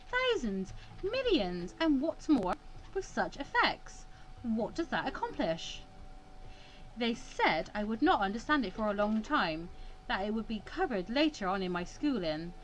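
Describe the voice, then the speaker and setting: A woman's voice: a little high, a little hiss or hum, rough recording. A woman hosting a let's play, reading visual novel text aloud and breaking off for wry, sarcastic asides.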